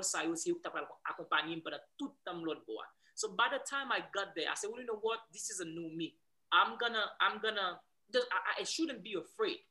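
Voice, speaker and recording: Bright-voiced Haitian man telling his story. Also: sits high. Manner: animated storyteller, many restarts, growing louder as he goes. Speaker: guest. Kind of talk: casual interview, switching between English, Creole and French.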